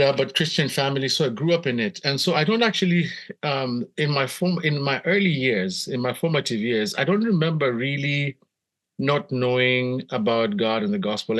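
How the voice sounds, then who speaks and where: A middle-aged man with a Kenyan accent, telling personal stories in a reflective, measured, conversational way as an interview guest. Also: medium pitch, a bit bright. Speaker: podcast guest, missionary pastor.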